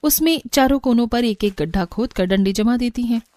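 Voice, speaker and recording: Female cartoon story narrator, slightly high pitch. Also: brisk, a little mellow, no echo.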